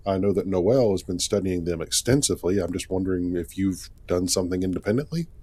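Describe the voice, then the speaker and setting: A man's voice: low-pitched, quick pace, a little mellow. A man playing an easygoing, curious spaceship captain in a sci-fi game story, talking casually and thinking aloud. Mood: curious, probing. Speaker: actor voicing a game character in a fan story. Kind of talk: conversational character acting. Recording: clearly noisy background.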